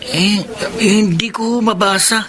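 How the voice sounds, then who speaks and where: An actor as a shy, polite teenage boy in a radio drama. The youthful voice sits at a middling pitch and speaks hesitantly, with apology in it. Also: fairly even intonation, slow, crowd noise, natural voice. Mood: sheepish. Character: meek, apologetic illiterate fifteen-year-old boy. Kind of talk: Tagalog radio drama acting.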